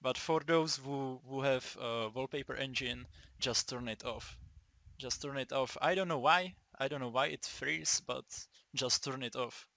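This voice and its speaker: Slow, gravelly young Czech guy. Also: medium pitch, sharp tone. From Czech Republic. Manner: halting, swears often, many restarts. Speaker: gaming video maker. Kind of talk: casual tutorial chat.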